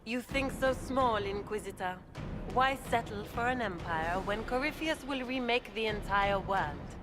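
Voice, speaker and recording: A woman's voice: mid-range voice, lively intonation, unhurried, close to an everyday voice. A woman playing a smug, scheming royal villainess in a fantasy video game, speaking with theatrical condescension. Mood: gloating. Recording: music behind the voice.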